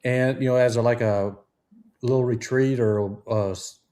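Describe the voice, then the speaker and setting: A man's voice: mid-range voice, fairly even intonation, moderate pace, dark tone. Man co-host on a casual live chat, easygoing with a joking manner.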